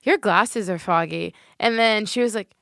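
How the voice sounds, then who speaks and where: A woman's voice: medium pitch, normal speed, vocal fry, no room sound, clean studio sound. A woman guest on a faith podcast, talking animatedly with lots of "like". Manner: animated, leans on "like". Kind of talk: casual faith chat. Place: podcast studio.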